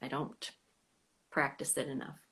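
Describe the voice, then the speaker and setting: An American woman hosting a fan club live stream over a video call, chatting casually, sometimes trailing off into soft whispers. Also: measured pace.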